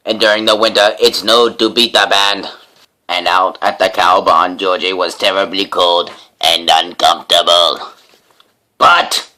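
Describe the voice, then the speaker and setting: A man with a low, bright and forceful voice reads a children's storybook. His delivery is theatrical and deliberate, like a narrator giving a dramatic reading. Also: poor audio. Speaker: storybook reader, host.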